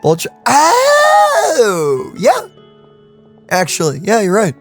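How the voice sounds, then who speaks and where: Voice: mid-range voice, wildly swooping pitch, slow pace, soft background music. A let's-play host giving casual, jokey commentary, laughing often and breaking into exclamations.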